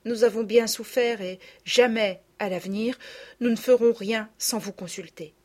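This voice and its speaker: A woman's voice: fairly high pitch, normal speed, darkish tone, pitched above the performer's own voice, natural voice. A woman voicing a humble, repentant young girl in an audiobook, speaking earnestly. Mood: contrite. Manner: earnest, contrite pleading.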